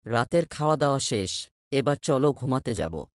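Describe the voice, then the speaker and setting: A man playing an animated, earnest village father. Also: medium pitch, moderate pace, no echo, studio quality, natural voice. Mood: caring. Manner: earnest, plain-spoken. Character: hopeful, respectful hardworking village fish-farmer father. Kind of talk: cartoon voice acting.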